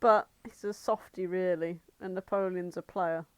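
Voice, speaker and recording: A woman's voice: on the low side, steady tone, normal speed, smooth, dry recording. A woman co-hosting a podcast, chatting casually and comedically in a blunt, irreverent way. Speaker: podcast co-host.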